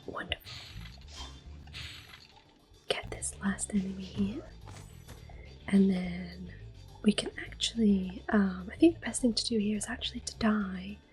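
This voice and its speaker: Slow, whispering ASMR woman gamer. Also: medium pitch, steady tone, soft background music. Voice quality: soft-spoken, breathy half-whisper. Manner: gentle, unhurried narration of each move. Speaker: game streamer, host. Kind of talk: ASMR gameplay commentary.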